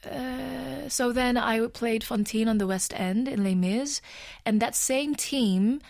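Woman, thirties, from the Netherlands, studio interview guest, halting and thoughtful, dry close mic. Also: on the high side, creaky, darkish tone, no echo. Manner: thoughtful, with many pauses and "um"s. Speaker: guest, musical actor. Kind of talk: radio interview. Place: radio studio. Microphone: studio microphone on a stand.

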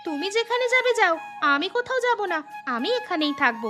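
A woman voicing a spirited, stubborn young bride in a village cartoon, talking in a lively, emphatic way. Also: very high voice, a little fast, faint music behind, heavily acted. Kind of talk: cartoon voice acting.

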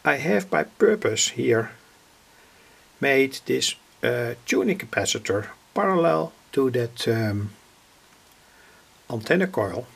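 Male hobbyist vlogger, Dutch accent, extremely gravelly and rough-edged voice, halting informal technical explanation. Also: mid-range voice, very animated. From Netherlands. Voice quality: gravelly, husky. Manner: halting, deliberate phrasing with pauses. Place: indoors at a home electronics workbench.

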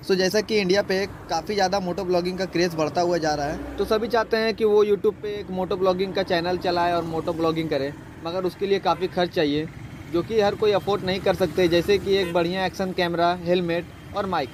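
A man's voice: sits high, fairly even intonation, a little fast, noisy background. A man hosting a casual moto vlog, chatting step by step through a setup with an earphone mic tucked in his helmet. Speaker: moto vlogger. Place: riding a motorcycle, then at a shop table. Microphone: earphone mic tucked inside a helmet.

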